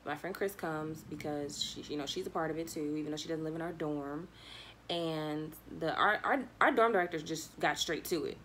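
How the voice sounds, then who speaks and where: A young woman with a notably low voice tells a story as if chatting to friends. Her delivery is slangy and animated, slipping into quoted back-and-forth dialogue. There is clear ambient noise behind her. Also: moderate pace. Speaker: vlogger telling a personal story. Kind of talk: casual conversational storytelling.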